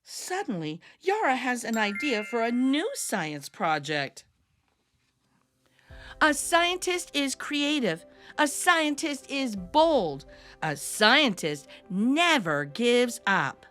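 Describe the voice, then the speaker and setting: A woman reading a picture book aloud to young children with expressive, deliberate delivery and dramatic emphasis. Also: on the high side, soft background music. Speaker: children's read-aloud storyteller.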